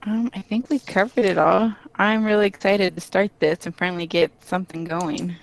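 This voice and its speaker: A woman's voice: mid-range voice, steady tone, moderate pace, vocal fry, poor audio. A woman developer chatting casually as a co-worker, agreeable and brief in her answers. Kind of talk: casual chat.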